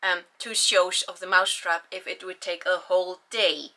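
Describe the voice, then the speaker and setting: A woman's voice: lowish voice, fairly even intonation, moderate pace, pushed and bright. A young woman with an Icelandic accent, a native Icelandic speaker, chatting casually and rambling through her book haul with sighs and ums. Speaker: book vlogger.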